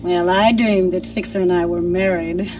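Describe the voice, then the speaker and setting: A woman's voice: fairly low pitch, fairly even intonation, moderate pace, muffled recording, close to an everyday voice. A young woman playing a dreamy, mildly exasperated frontier girl in a radio drama. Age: young adult. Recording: distant radio drama with loud music.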